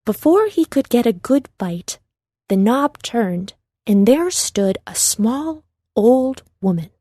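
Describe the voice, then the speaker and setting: Female narrator for kids' read-along story: measured, slow delivery, mellow tone. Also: a little high, very animated, no room sound, studio quality. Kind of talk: scripted children's storybook narration.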